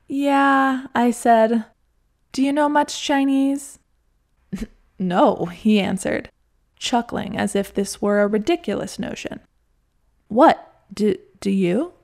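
A woman tells her own story to her listeners in a wry, reflective tone, poking fun at herself. Her voice is mellow and dark, with a gravelly fry running through it at an ordinary pitch. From United States. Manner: wry, reflective, self-deprecating. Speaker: podcast narrator. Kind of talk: scripted personal-story narration.

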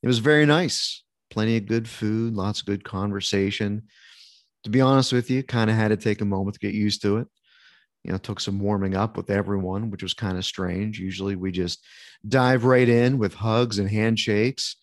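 A man's voice: on the low side, steady tone, normal speed, no echo, clean studio sound. A man hosting a podcast, chatting in a friendly, conversational way and then starting an interview. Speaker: podcast host.

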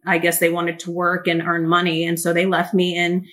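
A woman's voice: on the low side, moderate pace. A Mandarin-woman, candidly telling her life story as an interview guest. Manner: candid, blunt, energetic. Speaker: guest, company founder.

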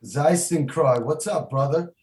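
A man's voice: a little high, measured pace, darkish tone. A man hosting a podcast, talking loosely and enthusiastically, with stammering restarts. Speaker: podcast host. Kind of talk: casual podcast chat. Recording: roomy echo.

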